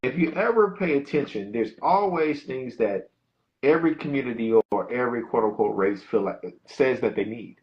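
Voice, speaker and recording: A man's voice: medium pitch, expressive, moderate pace, highs cut off. A man co-hosting a podcast, speaking in an emphatic, conversational way. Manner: conversational, emphatic, backchannels often. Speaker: podcast co-host. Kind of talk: casual podcast discussion.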